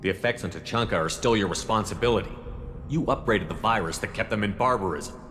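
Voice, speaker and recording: A man's voice: lowish voice, fast, fairly bright tone, lightly acted. A man acting as a blunt military commander in a video game, direct and probing, challenging whoever he addresses. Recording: long reverb with music underneath.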